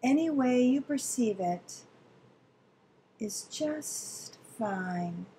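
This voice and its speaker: Slow, mellow woman guiding meditation. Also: on the high side, expressive, slight background noise. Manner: soothing, gentle, deliberate with long pauses. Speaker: meditation guide. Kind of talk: guided visualization meditation.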